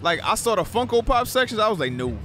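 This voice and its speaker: Chill guy talking at a con, kinda high voice, some music behind. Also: very animated, fast talker. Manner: laid-back, profane, laughs easily. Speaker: podcast co-host. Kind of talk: casual chat. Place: convention hall floor, indoors.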